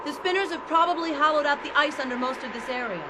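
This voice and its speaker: An actress playing an outspoken, skeptical physician at a mining colony in a radio drama, with a blunt, argumentative manner. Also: female voice, high voice, fast talker, natural voice. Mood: tense, analytical. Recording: noisy ambience behind the voice.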